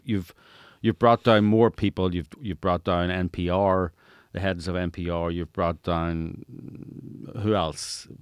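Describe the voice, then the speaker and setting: A middle-aged Irishman with a fairly low voice talks in a casual interview style. He rambles through anecdotes, and the recording is close and dry. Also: dry recording.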